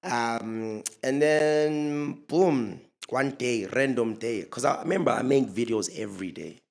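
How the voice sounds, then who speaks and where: A young man, a podcast guest, telling stories in a relaxed, casual way and laughing often. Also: mid-range voice, measured pace, creaky. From Zimbabwe. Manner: relaxed, laughs often, mixes in local words. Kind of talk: casual storytelling chat.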